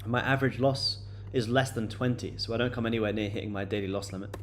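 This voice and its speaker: A man's voice: lowish voice, fairly even intonation, quick pace, slight background noise. A man in his late twenties chatting loosely to viewers on a live stream, with many fillers and repeated words. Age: late 20s. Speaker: day-trading livestream host. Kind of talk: casual live-stream chat answering viewers.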